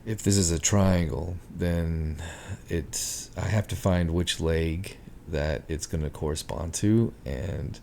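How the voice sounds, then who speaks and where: A man with a very low, gravelly voice talks slowly through trading charts. He sounds hoarse and pauses often to think aloud. Faint background noise sits under the recording. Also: a little mellow. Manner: slow, thinking aloud with many ums. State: hoarse, clearing his throat. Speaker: market analyst. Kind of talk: screen-share chart walkthrough.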